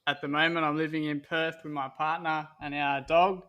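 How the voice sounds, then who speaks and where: An Australian man in his thirties, a podcast guest answering in a relaxed, rambling way with lots of pauses and restarts. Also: on the high side, dull audio. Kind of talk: casual interview answers.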